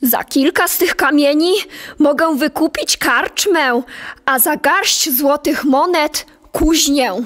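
A woman's voice: sits high, slow, fairly bright tone. A woman playing an eager young stable boy in a radio play, earnest and boyish. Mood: delighted.

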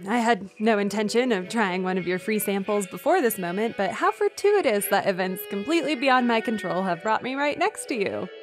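A self-conscious grocery shopper acted by a woman over-explains defensively in a comedy sketch. Her voice is mid-pitched, with soft music behind. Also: expressive, moderate pace, hardly any pauses, close to an everyday voice. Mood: feigned nonchalance.

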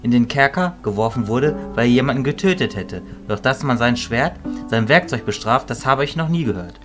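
Guy playing calm wise mentor in a fantasy audio play, measured and reasoning, music going behind him. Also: medium pitch, lightly acted. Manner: measured, philosophical, reassuring.

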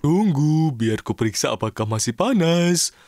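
A man's voice: a little high, expressive, normal speed, darkish tone, dry recording, natural voice. A man voicing a cheerful, impulsive, childlike little cartoon man in a children's show. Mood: caring. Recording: studio voice over cartoon music.

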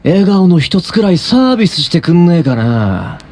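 An actor playing a cocky young street-gang delinquent in an anime video game, with slangy, swaggering speech. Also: male voice, sits high, very animated, moderate pace, darkish tone, a little hiss or hum. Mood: leering, taunting.

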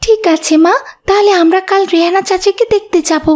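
Cartoon casting: kind, forgiving small girl, voiced by a woman, very high and earnest. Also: fairly even intonation, brisk, darkish tone. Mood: polite, earnest. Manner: polite, earnest. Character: kind, devout little schoolgirl, forgiving and polite. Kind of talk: Islamic cartoon dub acting.

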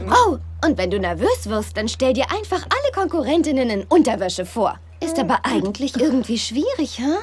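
A woman voicing a good-natured, naive, food-loving young friend in an animated audio play, chatty and rambling. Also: high voice, fairly quick, hardly any pauses, husky, heavily acted. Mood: cheerful, chatty.

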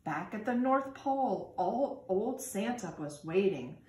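A woman in her forties, a teacher, reading a children's storybook aloud in an expressive, singsong way. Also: mid-range voice, measured pace. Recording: echoey room with background noise.

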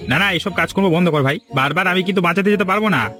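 A man's voice: high-pitched, very fast, bright, forceful tone. A man voicing a scheming, mocking middle-aged village villain in a cartoon fairy tale. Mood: mocking, chiding. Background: music.

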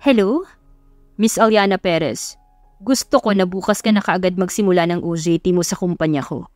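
A woman's voice: on the low side, normal speed, darkish tone, no room sound, lightly acted. A commanding young playboy CEO acted out by a woman, curt and impatient in an audio love story. He gives orders and expects them followed. The recording is dry, with faint music underneath.

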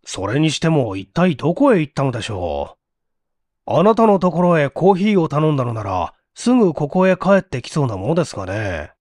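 A man's voice: fairly high pitch, very animated, brisk, a little mellow, no echo, clean studio sound. A man playing a polite, fretful workshop assistant with a restless, questioning manner in a story reading. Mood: puzzled.

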